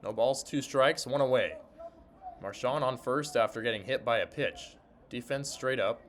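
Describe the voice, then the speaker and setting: A man's voice: medium pitch, normal speed, fairly bright tone, a little hiss or hum. A man calling baseball play-by-play for radio in clipped, descriptive phrases. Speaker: sports broadcaster, interviewer and play-by-play announcer. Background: ambience of a sparsely filled ballpark.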